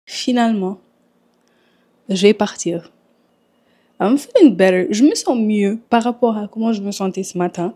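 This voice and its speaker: A woman's voice: medium pitch, a little slow, long pauses, warm tone. A woman from Senegal chatting in a home vlog, a little congested from a cold, dropping English phrases into her talk. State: recovering from a cold. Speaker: vlogger. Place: at home in Montreal.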